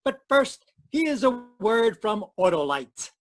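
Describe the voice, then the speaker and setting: A man's voice: sits high, sing-song, slow, heavily acted. A man playing a formal, showy announcer in the style of 1940s network radio.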